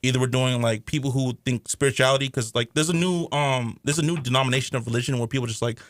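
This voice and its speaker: A man's voice: mid-range voice, fast talker, no echo. Casual, slangy man in a dry studio. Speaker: podcast cast member. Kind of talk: casual chat. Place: community media studio.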